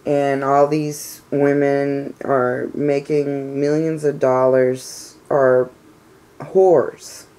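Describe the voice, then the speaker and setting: A woman in her thirties with a cold, stuffed up and raspy, venting her frustrations in a rambling personal vlog full of "you know"s. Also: extremely low pitch, level tone, extremely slow, mellow. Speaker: vlogger. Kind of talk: casual personal vlog rant.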